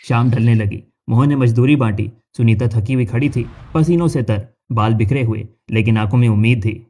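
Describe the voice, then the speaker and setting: Mellow male story narrator. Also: medium pitch, a little fast.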